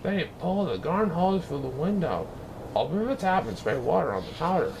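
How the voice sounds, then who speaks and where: Male storybook reader, fairly high voice with lively swings in pitch, scripted children's narration, audible background noise. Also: brisk, gravelly.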